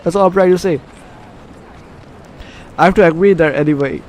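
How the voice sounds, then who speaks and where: A man's voice: high voice, moderate pace. A man hosting a casual let's play, reading game text aloud with commentary and often stumbling over and repeating phrases. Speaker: gaming video host.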